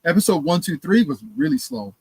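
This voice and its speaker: A man co-hosting a casual podcast chat and reading out news items, animated and halting, with many fillers. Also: high-pitched, creaky, slightly rough, no room sound. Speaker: podcast co-host.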